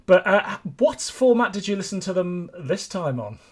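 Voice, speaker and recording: A man's voice: high-pitched, sing-song, moderate pace, slightly rough. A man hosting a podcast, moving between scripted narration and casual chat. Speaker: podcast host.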